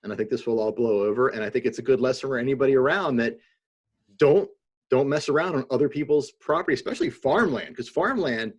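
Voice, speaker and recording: A man's voice: medium pitch, fast talker, breathy edge, lo-fi sound. A man co-hosting a casual chat over a video call, joking and playful. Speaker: co-host.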